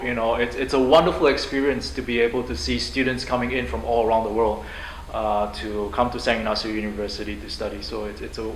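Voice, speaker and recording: A man from Singapore, a university president answering interview questions in an upbeat, fluent way with frequent fillers. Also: fairly low pitch. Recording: echoey room, faint noise.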